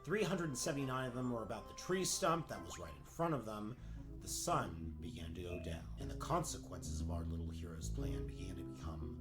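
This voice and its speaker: Man acting a theatrical storybook narrator, wry, very expressive pitch, comic radio play, faint music. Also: fairly quick. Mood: mock-grand. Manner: mock-grand, wry. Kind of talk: comedic scripted storytelling.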